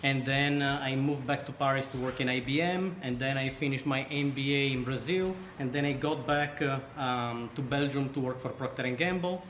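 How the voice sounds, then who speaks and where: An Italian-accented man speaks casually as an interview guest, telling his story at a middle pitch. The room is clearly echoey, with faint noise in the background. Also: fairly even intonation, moderate pace, hardly any pauses, a bit bright. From Sardinia, Italy. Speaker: startup co-founder, interview guest.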